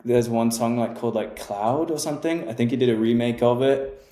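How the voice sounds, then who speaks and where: A man in his twenties, a music producer, chatting casually in an interview and often pausing with fillers and restarts. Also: medium pitch, dark tone, echoey room. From England. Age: 20s. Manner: hesitant, many fillers and restarts.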